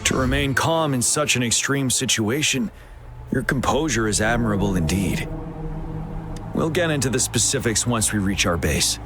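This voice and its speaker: An actor voicing a stern, dutiful young male general who speaks in a measured, earnest and formal way in a game cutscene. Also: medium pitch, expressive, lightly acted. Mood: earnest, grave. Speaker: general leading the defence. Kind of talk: voiced video game cutscene. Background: cinematic music.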